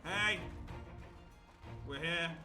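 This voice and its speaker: A man's voice: fairly high pitch, drawn-out words, pushed and bright. A man streaming a game live, giving casual reaction commentary. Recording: game music behind the voice.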